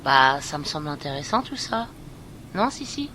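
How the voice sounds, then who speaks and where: A woman playing a brisk, encouraging and slightly bossy companion to a blind girl in an amateur audio drama. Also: sits low, big pitch swings, pushed and bright, full character voice. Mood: polite, interested. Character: brisk, upbeat woman looking after a blind teenage girl. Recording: clear background noise.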